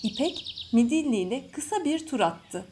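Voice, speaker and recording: A woman with a fairly high voice narrates a children's bedtime story. She reads in measured, separate phrases, as a storyteller does. Birds chirp faintly behind her. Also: lively intonation, dark tone. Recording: faint background noise with birdsong.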